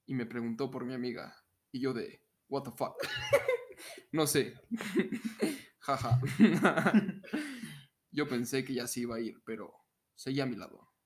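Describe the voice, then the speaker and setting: A man's voice: mid-range voice, wildly swooping pitch, takes it slowly, pauses often. Jokey young Mexican man, laughs easily. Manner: jokey, prone to breaking into laughter. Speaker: podcast co-host. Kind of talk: casual comedic chat and story reading.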